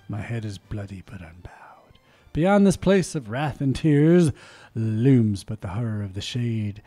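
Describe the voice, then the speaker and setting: Mellow-voiced man reading poems dramatically. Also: medium pitch. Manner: theatrical, swells loud then drops to a whisper. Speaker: community radio host. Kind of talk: dramatic poetry reading on radio. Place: community radio studio. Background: faint instrumental music.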